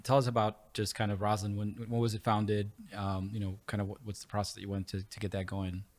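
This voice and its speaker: Man, interview host, lowish voice, rambling speech with frequent "you know.". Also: monotone, a little fast. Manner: rambling, filler-heavy, friendly questions. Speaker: podcast host. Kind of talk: conversational interview.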